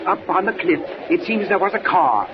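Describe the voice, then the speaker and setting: Fussy posh photographer, high excitable voice, old radio drama, muffled and hissy. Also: male voice, normal speed. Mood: excited, concerned. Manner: effusive, says "my dear fellow". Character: excitable, genteel press photographer, fussy old-fashioned gentleman. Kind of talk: 1940s radio acting.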